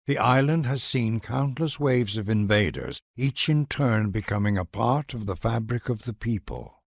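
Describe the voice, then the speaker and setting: A man's voice: mid-range voice, dry recording, studio quality. A man delivering grand scripted narration for a strategy game in a formal, reverent, theatrical manner.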